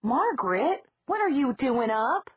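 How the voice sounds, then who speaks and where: A woman's voice: high voice, very animated, unhurried, breathy edge, no echo, voice pushed up, exaggerated voice. A woman voicing a fussing, scolding middle-aged aunt in an audiobook. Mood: calling. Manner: scolding, raised. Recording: dry, phone-like narrow sound.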